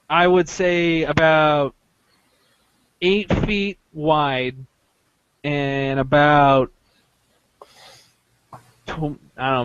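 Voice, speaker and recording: Higher-voiced man, halting podcast storyteller. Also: clear, resonant tone, dry recording, highs cut off. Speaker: podcast host telling a story. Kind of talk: casual chat, recounting an experience.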